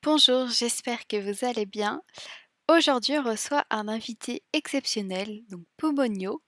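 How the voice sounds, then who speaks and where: A woman, the host and a French teacher, giving a scripted podcast intro in an upbeat, energetic way. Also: fairly high pitch, measured pace, no room sound, clean studio sound.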